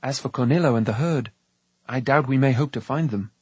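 A man's voice: medium pitch, a little fast, darkish tone, slightly rough, dry recording. An earnest young hero from a storybook, read by a man. He speaks formally and humbly in a mid-range voice. The recording is dry. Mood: resolute. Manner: sincere, formal.

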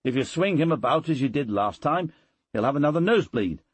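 A man's voice: lowish voice, normal speed, mellow, slightly rough, dry recording. A man voicing a deferential, anxious middle-aged tutor in an audiobook, polite and cautious. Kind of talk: audiobook character dialogue.

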